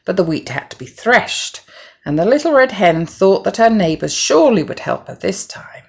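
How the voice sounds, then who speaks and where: A middle-aged woman narrating a children's story from a script in a measured, gentle way. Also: medium pitch, sing-song, vocal fry, breathy edge.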